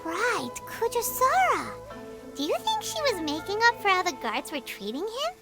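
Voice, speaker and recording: Very high, squeaky little fairy companion. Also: female voice, wildly swooping pitch, normal speed, full character voice. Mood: chatty. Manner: refers to self in third person, chatty. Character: small chirpy childlike fairy companion, eager and food-loving. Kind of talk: anime-style video game voice acting. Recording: music playing behind the voice.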